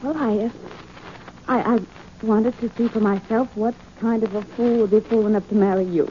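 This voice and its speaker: An actress playing an indignant, soft-hearted young sweetheart in a 1940s radio drama, sharp-tongued and easily flustered. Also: female voice, on the high side, fairly even intonation, normal speed, close to an everyday voice. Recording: muffled, faintly noisy 1940s radio recording.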